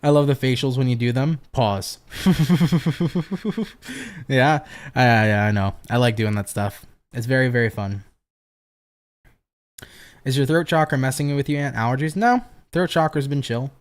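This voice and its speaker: A man's voice: mid-range voice, measured pace, long pauses. A tired man reading tarot on a casual livestream, chatty and profane, laughing often and answering viewer comments. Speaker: tarot reader hosting a livestream.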